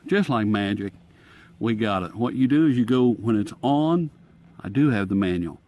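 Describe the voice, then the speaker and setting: A man's voice: on the low side, lively intonation, normal speed, darkish tone, dry recording. A man casually demonstrating a gadget hands-on, thinking aloud in a folksy, informal way. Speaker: radio reviewer and host. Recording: clear static and radio noise behind.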